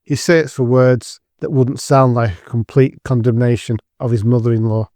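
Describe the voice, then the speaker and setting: Guy narrating an audio drama, scripted, mid pitch voice, very dry recording. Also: steady tone, moderate pace, warm tone, no echo.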